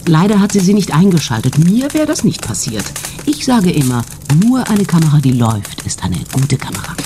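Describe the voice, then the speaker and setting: A self-important TV reporter in a radio play. She is opinionated and solemnly dramatic, her pitch swinging widely, over faint noise. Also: huge pitch swings, a little fast. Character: self-important female TV journalist with maxims. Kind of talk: German-language radio play acting.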